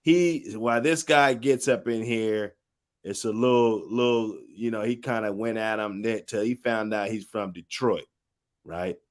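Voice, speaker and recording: A man's voice: fairly low pitch, measured pace. A man hosting a casual reaction chat, explaining things in a loose, rambling way. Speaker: host reacting to clips.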